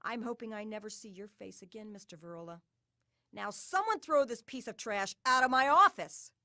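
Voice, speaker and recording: An actress playing a cold, imperious crime boss in a game, formal, taunting and menacing. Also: female voice, a little high, lively intonation, normal speed, no echo, clean studio sound. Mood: contemptuous. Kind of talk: crime game villain acting. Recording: loud music over the voice.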